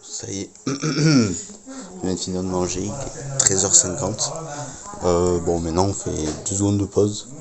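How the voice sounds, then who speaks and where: Casual male vlogger with low-pitched voice. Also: measured pace, crowd noise. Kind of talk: casual vlog chat to viewers.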